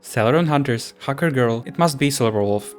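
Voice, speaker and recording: Excitable game streamer, stammering theories. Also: medium pitch, brisk, soft background music. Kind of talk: casual reaction commentary.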